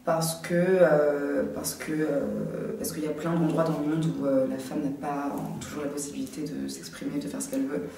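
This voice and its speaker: A young woman from France, an art student, answering interview questions casually and haltingly, often pausing to think. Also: low-pitched, little pitch movement, creaky, a crowd in the background. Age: young adult. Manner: halting, thinking aloud with many pauses. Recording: very echoey, distant from the mic, faint noise.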